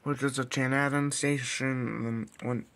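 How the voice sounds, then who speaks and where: A man giving casual, halting vlog commentary in a small room on a handheld camera, rambling through a list. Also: mid-range voice, level tone, fairly bright tone. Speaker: weather radio hobbyist vlogger. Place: small bedroom, indoors. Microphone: handheld phone or camera.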